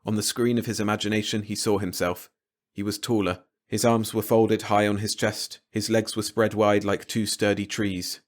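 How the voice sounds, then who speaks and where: A man's voice: lowish voice, little pitch movement, moderate pace. A man reading a short story aloud as a scripted audiobook narration. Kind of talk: scripted story narration, bedtime read-along.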